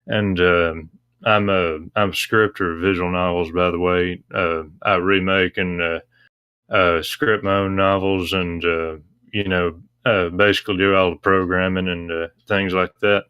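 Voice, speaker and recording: A man with a very low, clear voice talks as a guest in a casual interview. His tone is bright and crisp with almost no room sound, and he explains things in a hesitant, meandering way. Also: level tone, a little slow, no room sound, highs cut off. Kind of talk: casual interview chat about visual novels.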